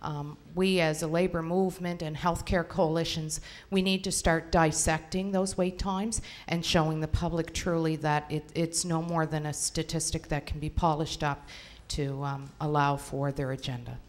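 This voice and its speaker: A woman union delegate speaking from the floor at a convention, measured and pausing with frequent ums. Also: deep voice, little pitch movement. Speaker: union delegate asking a question. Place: convention hall.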